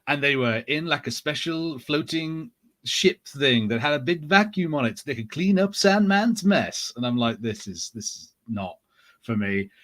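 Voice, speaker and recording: A man's voice: fairly high pitch, animated, moderate pace, fairly bright tone. A man chatting casually as a panelist on a pop-culture podcast.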